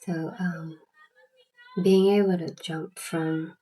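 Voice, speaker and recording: A young woman with a Southern US accent and a stutter, chatting casually in a book vlog with halting restarts and many fillers. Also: on the low side, level tone, background noise. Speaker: booktuber.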